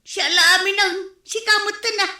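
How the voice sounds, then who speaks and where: A woman with an Ilocano accent playing a scolding, hardworking, exasperated village wife, shrill and nagging, in a radio comedy drama. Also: fairly even intonation, moderate pace, pushed and bright, roomy sound, heavily acted. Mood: scolding, fed up.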